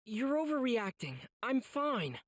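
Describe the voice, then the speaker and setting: A man's voice: very high voice, very animated, measured pace, fairly bright tone, roomy sound, long reverb tail, full character voice. A man voicing an earnest, kind-hearted teenage boy swordsman with emotional delivery in an anime game. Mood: gentle, puzzled.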